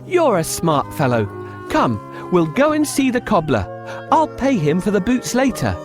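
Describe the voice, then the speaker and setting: Man playing a high-voiced, plaintive poor young master. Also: wildly swooping pitch, a little slow, music in the background, full character voice. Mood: practical, warming. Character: poor young male master, doubtful and gloomy. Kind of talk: children's storybook voice acting.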